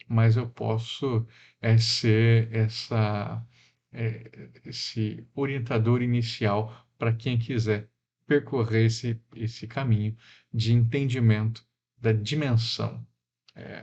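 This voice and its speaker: A man from Mato Grosso do Sul, Brazil, giving a lecture in a reflective, unhurried way, with frequent fillers and tag questions. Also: lowish voice, a little mellow, lo-fi sound. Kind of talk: recorded lecture.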